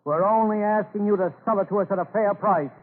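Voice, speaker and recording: A man's voice: sits high, fast talker. A man acting in a 1930s radio drama as an earnest, indignant lumber buyer standing up for his townspeople. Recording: scratchy narrow-band old radio recording.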